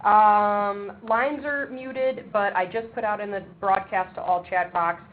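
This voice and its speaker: A woman chairing a webinar for an advisory board, talking briskly and practically with frequent ums. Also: medium pitch, fairly even intonation. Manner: says she has a loud voice. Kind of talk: webinar presentation. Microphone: speakerphone on a table. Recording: tinny phone line with faint background noise.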